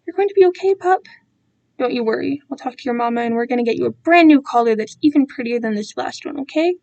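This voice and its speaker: A woman's voice: sits high, expressive, quick pace, creaky, darkish tone, lo-fi sound. A woman voicing a doting yet controlling male captor, with a patronizing manner, in a dramatic story reading. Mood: soothing, doting. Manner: cooing, patronizing.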